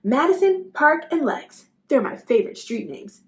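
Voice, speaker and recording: A woman voicing an excitable, outspoken schoolboy in a children's storybook. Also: high-pitched, normal speed, voice pushed up.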